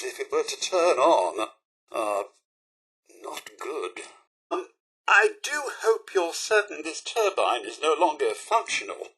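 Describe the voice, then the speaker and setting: Video game robot butler: British, refined, easily dismayed, formal and deferential; highish pitch, bright, forceful edge. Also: male voice, husky, exaggerated voice. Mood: anxious, hesitant. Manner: formal, deferential, theatrically expressive. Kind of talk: video game companion voice lines.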